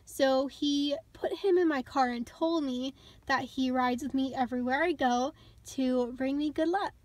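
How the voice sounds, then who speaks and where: A woman's voice: high voice, measured pace, darkish tone. A young woman giving a chatty, upbeat tour of her car, recorded on a handheld phone inside the parked car. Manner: chatty and upbeat, with little laughs. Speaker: vlogger. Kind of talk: casual car tour walkthrough. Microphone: phone held in hand.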